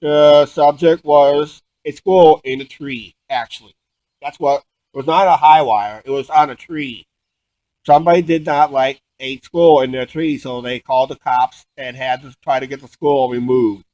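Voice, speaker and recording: A man telling stories casually and unscripted, halting and often repeating himself as he restarts sentences. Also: medium pitch, mellow, no echo, low-quality recording. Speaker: vlog co-host.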